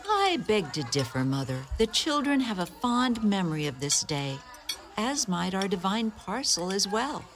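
Woman playing an earnest, lively-voiced nun. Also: mid-range voice, moderate pace, close to an everyday voice. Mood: cheerful. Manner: earnest, apologetic, expressive. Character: devoted nun, woman, eager and apologetic. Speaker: community radio play actor. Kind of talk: community radio play acting.

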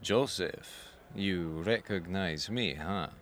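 A man's voice: sits low, slow, heavy background noise. A man playing a dogged, scheming Soviet agent in a radio comedy, theatrical and insinuating. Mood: menacing, insistent.

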